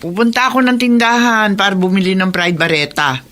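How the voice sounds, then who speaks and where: A woman's voice: mid-range voice, steady tone, fairly quick, fairly bright tone, slight background noise, close to an everyday voice. A woman playing a chatty, concerned older neighbour in a radio drama, folksy and exclaiming. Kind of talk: Filipino radio drama acting.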